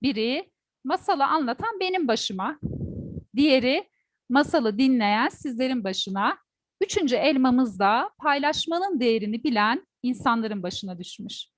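A woman's voice: high voice, animated, moderate pace, no echo. A woman storyteller telling a folk tale expressively, putting questions to her listeners. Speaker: teacher.

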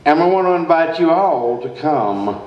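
Earnest small-town preacher , male actor, radio-play comedy, unhurried sermonizing, echoey and slightly dull stage sound. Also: fairly high pitch, expressive, noisy background, lightly acted. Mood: earnest, unctuous. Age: middle-aged. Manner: slow, deliberate, sermonizing delivery. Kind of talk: comic old-time radio sketch, read from script. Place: theater stage before a live audience. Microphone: stand microphone, heard in the hall.

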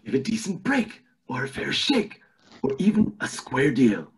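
A man's voice: medium pitch, wildly swooping pitch, measured pace, slight background noise. A man on a video call playing a scheming, pompous old tycoon in an amateur cartoon table read, with theatrical delivery. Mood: grandiose. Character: scheming old male tycoon running for governor.

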